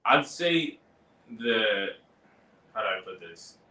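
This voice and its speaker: A man's voice: fairly low pitch, big pitch swings, takes it slowly, sharp tone, roomy sound, distant mic, rough recording. A man speaking as a wrestling guest in casual chat, hesitant and searching for words.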